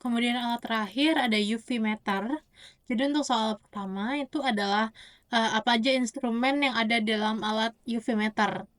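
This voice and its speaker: A young woman gives an explanatory oral presentation as a student, often halting to correct herself. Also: a little high. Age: young adult. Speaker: university student.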